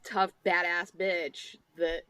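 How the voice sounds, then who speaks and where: A woman's voice: fairly low pitch, flat delivery, slow, pushed and bright, smooth, dry recording. A young woman chatting casually and emphatically as a podcast panelist. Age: young adult. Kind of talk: casual chat.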